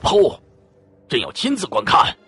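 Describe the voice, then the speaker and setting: An actor playing a weary, wrathful middle-aged emperor in an audiobook drama, speaking with commanding authority. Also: male voice, high voice, wildly swooping pitch, measured pace, pushed and bright, soft background music, exaggerated voice. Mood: furious. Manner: commanding, imperious.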